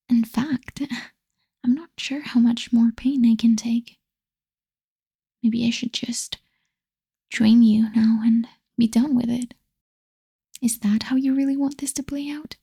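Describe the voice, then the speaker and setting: A woman voicing a possessive, lovesick female vampire in an intimate, breathy ASMR roleplay whisper, pleading and tender yet menacing. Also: a little high, warm tone, no room sound, clean studio sound, heavily acted. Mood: darkly teasing, wounded. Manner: slow, pleading, intimate delivery.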